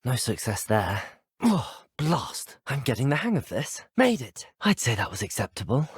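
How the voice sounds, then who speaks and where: A man's voice: medium pitch, huge pitch swings, moderate pace, breathy edge, dry recording, studio quality, heavily acted. A young man with a British accent playing an earnest, courteous student wizard in a video game. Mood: playful self-commentary. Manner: courteous, well-spoken, sincere.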